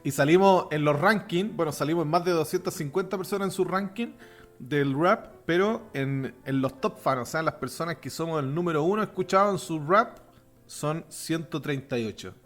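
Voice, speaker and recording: Faint music plays under a man with a slightly high voice and a softened Chilean accent. He hosts a religious podcast in an informal, chatty way, often sending warm wishes and blessings to his audience. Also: normal speed. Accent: softened Chilean Spanish. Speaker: podcast host. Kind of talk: casual religious podcast chat.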